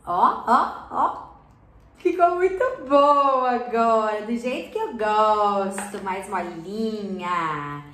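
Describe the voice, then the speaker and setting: A woman's voice: medium pitch, takes it slowly, a bit bright. A woman in her thirties hosting a slime-making tutorial for kids, chatty and encouraging, often checking in with her viewers as she mixes. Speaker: slime channel host. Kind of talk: hands-on craft tutorial for kids. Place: indoor studio corner packed with colorful slime products. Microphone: fixed camera at table, mid shot. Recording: roomy indoor echo.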